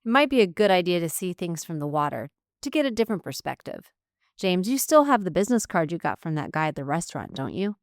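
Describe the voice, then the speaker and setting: A woman's voice: fairly low pitch, big pitch swings, fast, no room sound, studio quality. A woman voicing an eager, curious traveler asking questions and making plans. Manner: eager, inquisitive.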